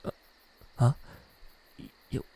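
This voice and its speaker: A man voicing a lonely, tender demon in love in an ASMR roleplay, speaking softly and haltingly, often near a whisper. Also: close to an everyday voice. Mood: bashful, wondering. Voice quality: breathy, soft, half-whispered. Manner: hesitant, halting, stammering. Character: lovesick male demon, lonely, tender, self-doubting.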